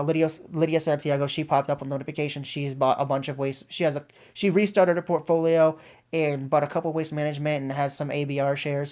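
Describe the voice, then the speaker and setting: A woman's voice: sits low, darkish tone. A woman with a cold gives casual, enthusiastic vlog commentary as the host of an investing channel. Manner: enthusiastic, informal. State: congested with a cold.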